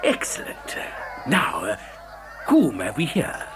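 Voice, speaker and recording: A man's voice: medium pitch, wildly swooping pitch, slow pace, over music, crowd noise. A man playing an eccentric, gleeful chocolate factory owner in an audio dramatisation, effusive, stammering and theatrical. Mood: effusive welcome.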